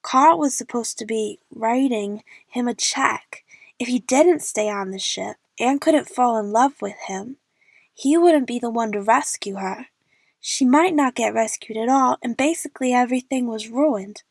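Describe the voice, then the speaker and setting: A woman's voice: a little high, unhurried, gravelly, no room sound. A young woman playing an eager, chatty movie fan who narrates her adventure in the first person for an audio drama. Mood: wry narration.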